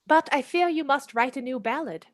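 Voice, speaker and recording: A woman's voice: sits high, moderate pace, dry recording, studio quality, lightly acted. A woman playing a proud, witty noblewoman who mocks an outlaw with arch, theatrical scorn in a radio play. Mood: mocking. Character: proud witty noblewoman Marian, mocking and spirited.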